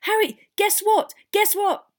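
Super eager little schoolboy, storybook voice, high n breathless. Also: female voice, takes it slowly. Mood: excited. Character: highly excited young schoolboy fan.

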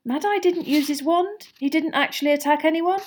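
A woman voicing a hurried, earnest middle-aged father asking anxious questions. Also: sits high, a little mellow, pitched above the performer's own voice, natural voice.

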